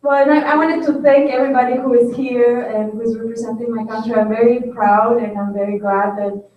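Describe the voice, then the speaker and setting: A woman speaks earnestly and fills her pauses with "uh" and "um." She sits slightly high for a woman, heard from far off in a very echoey hall. The sound is a little dull. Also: fairly even intonation. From Paraguay. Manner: earnest, with many fillers. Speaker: economics teacher. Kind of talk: personal introduction at a forum.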